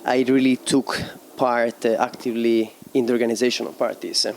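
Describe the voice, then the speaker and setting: A young man from Italy, a DJ and producer answering interview questions in a halting way, often searching for words and laughing easily. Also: medium pitch, level tone, vocal fry, slight background noise. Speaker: DJ and producer guest.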